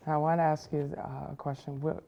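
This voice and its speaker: A young woman student journalist, speaking softly in a casual interview and letting her phrases trail off quietly. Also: sits low, level tone, a little fast, gravelly, dull audio, slight background noise. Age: young adult. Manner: soft-spoken, trailing off quietly.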